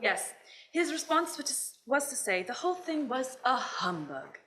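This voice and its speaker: A woman's voice: high-pitched, sing-song, measured pace, pushed and bright, a little hiss or hum, heavily acted. A woman playing a cheerful, forgiving young nephew hosting Christmas dinner in a radio play, genial and earnest.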